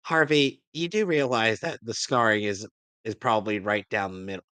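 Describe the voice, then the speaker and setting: A man's voice: lowish voice, moderate pace, pushed and bright, clean tone, dry recording. A man co-hosting a show, giving casual, joking commentary on a cartoon.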